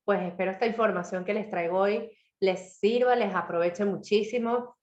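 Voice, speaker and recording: A woman speaking, her throat a little hoarse, shares a personal story in a reflective motivational talk. She checks in often with her listeners. The sound is dull and lo-fi, with a roomy echo around her. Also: mid-range voice, normal speed, a little mellow. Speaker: author and speaker.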